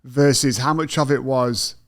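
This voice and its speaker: A man's voice: mid-range voice, fairly even intonation, measured pace, dry recording. British man hosting, dry close sound. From Britain. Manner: thoughtful, self-deprecating, frequent agreeing "yeah". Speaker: podcast host. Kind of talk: conversational interview.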